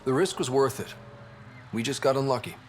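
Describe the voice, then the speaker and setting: A man's voice: medium pitch, fairly quick, slight background noise, natural voice. A man playing a young, upbeat and determined space explorer in a video game. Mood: matter-of-fact.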